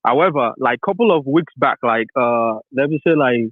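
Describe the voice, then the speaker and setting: A man's voice: mid-range voice, animated, normal speed, dry recording. A man in his thirties from Nigeria, calling into a talk show and rambling with many restarts. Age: 30s. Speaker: caller. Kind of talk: phone call to a talk show. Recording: tinny phone line, dry.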